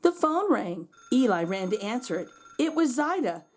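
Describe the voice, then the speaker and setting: A woman reading a children's storybook aloud, expressively and dramatically, with drawn-out words. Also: on the high side, big pitch swings. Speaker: children's read-aloud host. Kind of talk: expressive storybook narration for kids.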